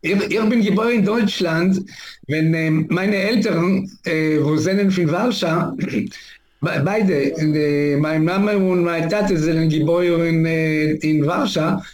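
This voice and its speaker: A man in his seventies from Israel, telling his life story in an interview, halting and searching for words with many fillers. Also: on the high side, a little mellow, slight background noise. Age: 70s. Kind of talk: oral history interview. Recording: echoey room.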